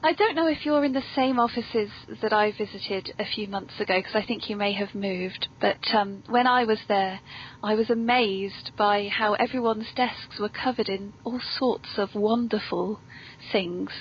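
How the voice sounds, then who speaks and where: A woman's voice: medium pitch, moderate pace. A British woman hosting a casual interview, speaking in a chatty, playful way. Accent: British English. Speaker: podcast host, interviewer. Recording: dull lo-fi audio with clear noise.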